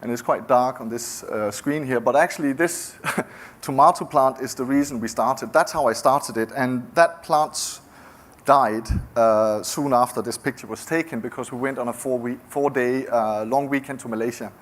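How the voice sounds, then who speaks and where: A middle-aged man from Denmark with a Danish accent, giving a conversational founder's talk with frequent restarts. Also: mid-range voice. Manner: conversational, halting with many restarts. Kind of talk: conference talk.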